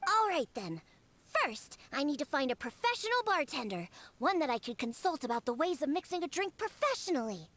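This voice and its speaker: A woman's voice: high-pitched, sing-song, fairly quick, fairly bright tone. A woman voicing a cute, proud, stubborn young cat-girl bartender in an anime game, speaking in an emphatic, huffy way. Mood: scheming.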